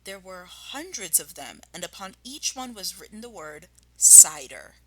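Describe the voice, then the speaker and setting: A woman's voice: lowish voice, very animated, measured pace, pushed and bright, poor audio. A woman primary school teacher reading a children's story expressively and addressing her pupils. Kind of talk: expressive children's storybook read-aloud and lesson.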